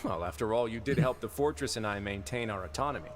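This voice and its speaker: A man voicing a composed, courteous and shrewd duke in a video game, measured, wry and authoritative. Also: lowish voice, lively intonation, close to an everyday voice.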